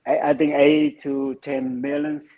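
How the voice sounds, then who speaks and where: A man from Vietnam with a Vietnamese accent, speaking English as a second language with halting phrasing, interviewed over a video call from his home. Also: on the high side, little pitch movement, crowd noise. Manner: halting, non-native phrasing. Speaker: project founder guest. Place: home room with framed photos.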